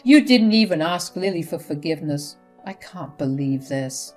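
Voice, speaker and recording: A woman's voice: low-pitched, expressive, moderate pace, a little mellow. A woman voicing a fed-up adult son who refuses his mother bluntly and firmly, over faint music.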